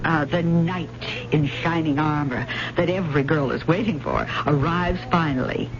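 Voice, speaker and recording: An actress playing an earnest, hesitant adult student in a radio drama, speaking with careful, storytelling delivery. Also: female voice, deep voice, moderate pace. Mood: intent storytelling. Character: earnest, hesitant, probing female adult student of old ballads. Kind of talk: 1970s radio drama acting. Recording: muffled, noisy old radio broadcast.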